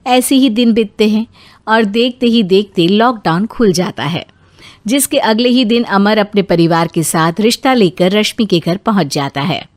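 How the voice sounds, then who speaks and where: A woman's voice: mid-range voice, normal speed, dry recording. Woman narrator, very dry sound. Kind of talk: scripted story narration.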